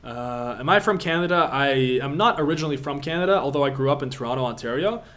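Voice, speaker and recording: A man's voice: mid-range voice, expressive, fast talker, a bit bright. A young man from Latvia, an online business coach answering viewer questions on a live stream, emphatic and pushy, repeating himself for effect.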